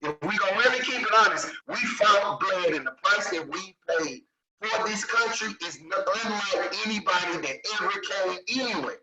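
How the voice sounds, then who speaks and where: A man's voice: sits high, expressive, moderate pace, reverberant, far from the mic, over a noisy crowd, rough recording. A man, speaking in an emphatic, assertive way in a group discussion and repeating points for stress. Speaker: discussion participant. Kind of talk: passionate opinion in group discussion.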